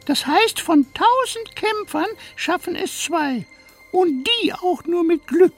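A woman's voice: very high voice, expressive, slow pace, soft background music, full character voice. A woman playing a cheeky, droll young ghost in a children's radio play, chatty and wry.

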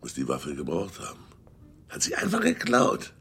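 An actor playing a gruff, easily flustered gun shop owner in a German radio play. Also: male voice, extremely low pitch, huge pitch swings, moderate pace, a bit bright, heavily acted. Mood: eager.

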